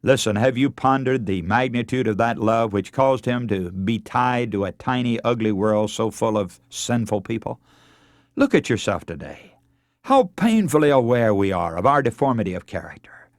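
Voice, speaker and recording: Animated radio preacher, a man. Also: medium pitch, moderate pace, no room sound, studio quality. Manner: earnest, oratorical, addresses listeners as friends. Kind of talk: scripted radio sermon.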